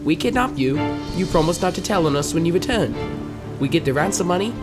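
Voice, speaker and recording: A male actor plays a cautious, practical guard plotting a crime in a radio play. The voice sits at a middling pitch, with clear music behind it. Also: lively intonation, fairly quick, lightly acted. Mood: coolly threatening. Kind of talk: radio drama acting.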